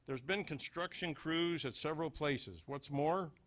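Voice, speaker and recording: A man's voice: a little high, measured pace, fairly bright tone. A man delivering a scripted humorous small-town monologue as a storyteller, with folksy, dry understatement. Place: live before an audience.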